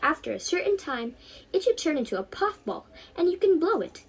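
A woman's voice: high-pitched, very animated, fairly quick, pitched above the performer's own voice. A teenage girl voicing a poor young flower seller in a storybook, humble and full of curious questions. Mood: helpful.

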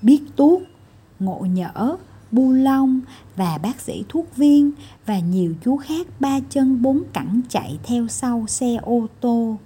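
Woman reading a kids story, slow n animated, mellow husky voice. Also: on the high side. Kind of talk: expressive scripted children's story narration.

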